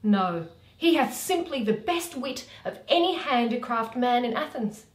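A woman's voice: a little high, lively intonation, a little slow. A woman performing a simple, earnest young male workman in a Shakespeare comedy, lamenting dolefully. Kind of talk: Shakespeare read aloud as solo performance. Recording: roomy home recording.

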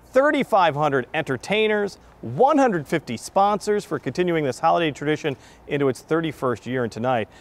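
A middle-aged man with an American accent hosting a broadcast interview, putting questions to a guest. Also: fairly high pitch. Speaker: TV host and interviewer. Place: large exhibition hall, indoors.

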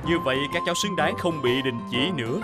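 Fair cartoon officer, a man voicing him, firm and questioning, animated, music behind. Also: on the high side, fast talker, natural voice. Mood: stern. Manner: firm, questioning, lively intonation.

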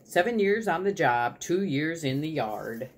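A woman voicing a retired rescue dog narrating a storybook, weary and wry, with deadpan, clipped delivery. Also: deep voice, a little slow, slight background noise. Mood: wry introduction.

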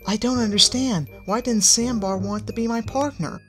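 A woman voicing a gentle, earnest young female pony. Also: a little high, moderate pace, music in the background, voice pushed up, close to an everyday voice. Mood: sad.

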